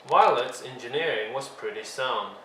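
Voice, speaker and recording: Man, children's storyteller, expressive read-along, dramatic emphasis, echoey room, faint noise. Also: medium pitch, normal speed. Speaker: storyteller reading a picture book aloud. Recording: roomy echo, faint background noise.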